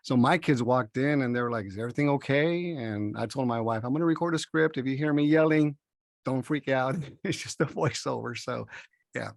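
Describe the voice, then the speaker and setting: A man's voice: mid-range voice, fairly quick, creaky, dry recording, studio quality. A man who works as a voice actor, casually telling an anecdote in an informal conversational style.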